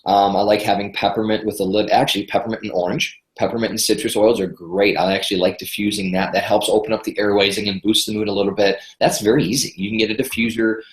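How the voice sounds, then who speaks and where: A man's voice: on the low side, fairly even intonation, fast talker, hardly any pauses, roomy sound, poor audio. A congested man from Michigan, a guest health expert chatting enthusiastically in a podcast interview. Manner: chatty, enthusiastic, repeats words when starting sentences.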